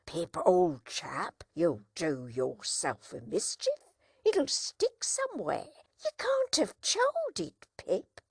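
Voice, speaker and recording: A woman reading aloud, voicing a kindly, simple-hearted blacksmith who speaks slowly and earnestly in homely country speech. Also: lowish voice, sing-song, vocal fry, husky, no echo, clean studio sound, heavily acted. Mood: earnest, worried.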